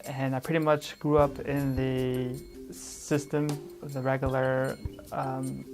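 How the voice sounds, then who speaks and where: A young man with a mid-pitched voice gives a halting testimonial, stumbling over false starts and repeating words as he searches for them. Clear music runs underneath. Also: level tone. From Chicago, USA. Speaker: teacher trainee. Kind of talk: testimonial interview.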